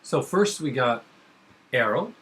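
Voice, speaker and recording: A man's voice: mid-range voice, expressive, slow pace, fairly bright tone, low-quality recording. A young man co-hosting a casual chat about comics and TV, rambling with lots of fillers. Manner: rambling, filler-heavy, enthusiastic. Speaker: podcast co-host.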